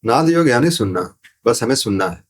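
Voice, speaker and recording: A man's voice: mid-range voice, lively intonation, moderate pace. A man explaining spiritual ideas as a podcast guest, in a didactic way, repeating phrases and asking rhetorical questions. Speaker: podcast guest, sound therapy teacher. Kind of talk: explanatory spiritual talk in an interview.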